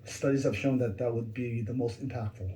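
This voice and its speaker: A man's voice: medium pitch, fairly even intonation, brisk, dark tone, a little hiss or hum. A man, a fire department captain, plainly answering questions from commissioners at a public meeting. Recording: echoey room with faint ambience.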